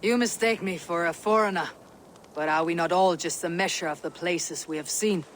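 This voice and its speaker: An actor playing a steely, measured Norse warrior leader in a video game, firm and solemn. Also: male voice, on the high side, a bit bright, slight background noise, close to an everyday voice. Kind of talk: video game character acting.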